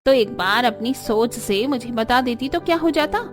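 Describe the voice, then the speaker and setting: A woman's voice: fairly high pitch, lively intonation, normal speed, faint music behind, close to an everyday voice. A woman voicing a haughty, quarrelsome middle-aged mother-in-law in a cartoon story, scolding and boastful. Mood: scolding, demanding. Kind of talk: Hindi cartoon story voice acting.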